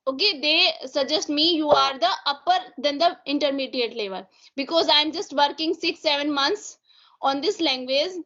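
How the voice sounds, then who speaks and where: A woman with an Indian English accent speaks in a high, bright voice. She talks haltingly, with many fillers, as a Hindi speaker practising English. The recording is dull and lo-fi. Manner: hesitant, many fillers and restarts. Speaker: English learner asking questions. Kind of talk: conversation practice chat. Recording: dull lo-fi sound.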